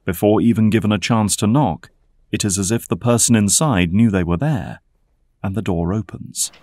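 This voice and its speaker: A man's voice: lowish voice, moderate pace, a little mellow. A man narrating a scripted audio drama as its storyteller.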